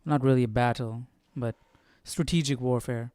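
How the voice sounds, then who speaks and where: A man's voice: medium pitch, fairly even intonation, moderate pace, mellow, no room sound. A man hosting a casual Bible study livestream, commenting informally and thinking aloud. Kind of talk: casual live reading commentary.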